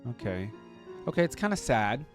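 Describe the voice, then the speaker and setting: A man's voice: mid-range voice, very animated, moderate pace. A man giving casual reaction commentary as a host, informal and thinking aloud in questions and exclamations. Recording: music playing behind the voice. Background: instrumental theme music with piano and choir.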